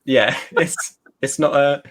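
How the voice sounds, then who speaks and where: A man from Somerset with a softened West Country English accent, chatting casually as an interview guest, self-deprecating and trying to sound well spoken. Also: medium pitch, slow. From Somerset, England. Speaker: guest author.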